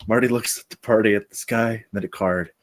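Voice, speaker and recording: A man's voice: lowish voice, steady tone, quick pace. A man reading screenplay narration and stage directions aloud at a table read.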